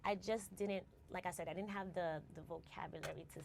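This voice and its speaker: Interview guest: New woman in her forties, low gravelly voice, reflective and unhurried. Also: fairly even intonation, a little mellow. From United States. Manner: reflective, tags phrases with "right?" and restarts words. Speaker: guest, company founder. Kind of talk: casual interview conversation.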